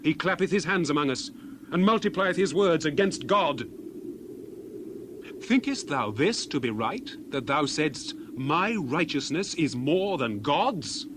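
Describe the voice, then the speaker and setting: Over noticeable outdoor ambience with birds and doves, an earnest biblical orator played by a man declaims scripture in a somewhat high male voice. He is solemn and indignant, pressing his rebukes with emphatic, rhetorical questioning in the style of a dramatized King James Bible. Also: animated, measured pace, background noise. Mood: admonishing.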